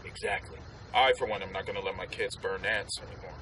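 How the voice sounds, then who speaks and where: A man's voice: deep voice, fairly even intonation, quick pace, distant mic, lightly acted. An actor playing a matter-of-fact, analytical male soldier in a military game, off-mic with noise around him. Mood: dry, analytical. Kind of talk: military game cutscene acting.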